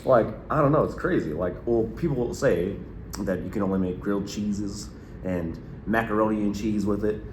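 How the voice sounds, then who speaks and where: A man's voice: on the low side, moderate pace, a little mellow, echoey room, slight background noise. A man in his early thirties, co-hosting a casual podcast chat, rambling with lots of fillers. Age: early 30s. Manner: rambling, many fillers and restarts. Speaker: podcast co-host.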